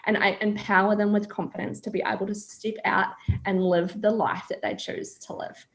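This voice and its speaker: A woman's voice: mid-range voice, flat delivery, normal speed, creaky, rough recording. Chatty New Zealand woman on a call. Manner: chatty storytelling, frequent ums. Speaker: guest, confidence coach. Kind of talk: casual video call interview. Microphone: video call.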